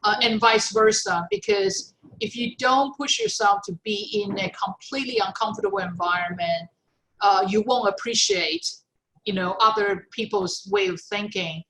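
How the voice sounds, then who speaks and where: A middle-aged woman whose first language is Chinese answers an interviewer's question. She works through her thoughts slowly, with plenty of fillers and self-corrections. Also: mid-range voice, expressive, vocal fry, fairly bright tone, slight background noise, poor audio. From China. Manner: thoughtful, many fillers and restarts. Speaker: guest. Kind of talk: interview, personal story.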